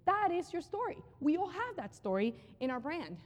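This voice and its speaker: A woman giving a live conference talk on brand storytelling, energetic and conversational, often repeating phrases for emphasis. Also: very high voice, moderate pace. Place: large hall with an audience. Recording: long hall reverb.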